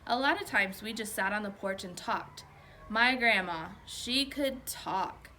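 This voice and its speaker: A woman's voice: mid-range voice, a little slow, sharp tone, a little hiss or hum. A woman voicing an easygoing storybook father who reminisces in a folksy way. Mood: fond, easygoing.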